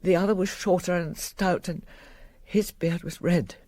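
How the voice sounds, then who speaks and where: A woman's voice: on the low side, fairly even intonation, measured pace, dark tone, no room sound. An actress playing a dignified, shaken middle-aged wealthy woman, newly widowed, in a radio drama. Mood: shaken, recounting.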